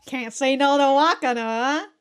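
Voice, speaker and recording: High-pitched woman giving casual reaction commentary. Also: moderate pace, faint music behind. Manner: excitable, laughs often, swears freely. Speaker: reaction video host.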